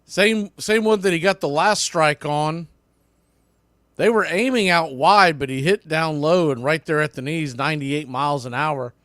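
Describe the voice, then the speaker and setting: A man's voice: on the high side, moderate pace, a bit bright, dry recording, studio quality. A man doing live baseball play-by-play in clipped short phrases, with casual asides.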